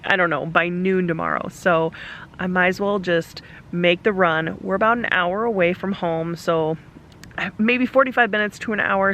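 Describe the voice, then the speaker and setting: A woman vlogging at a campground in casual chat, hoarse from a cough that is taking her voice, laughing often and filling her talk with "like" and "um". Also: lowish voice, fairly bright tone, slight background noise. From Alaska. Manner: chatty, laughs often, lots of fillers. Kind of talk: casual vlog talk to camera. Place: outdoors at a campground.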